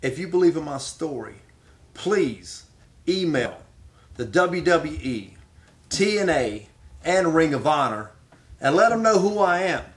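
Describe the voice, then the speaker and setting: A middle-aged American man with a somewhat high voice. He talks like a wrestler promoting himself, hammering phrases home with dramatic repetition. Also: sing-song, measured pace, long pauses. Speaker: professional wrestler. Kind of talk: promotional speech answering questions.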